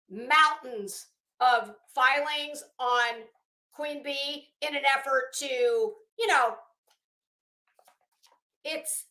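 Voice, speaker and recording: A woman's voice: high voice, pushed and bright, reverberant. A woman giving casual, rambling commentary on a document as a channel host, with a blunt, sarcastic, sweary manner. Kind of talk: casual spoken commentary while reading a legal document.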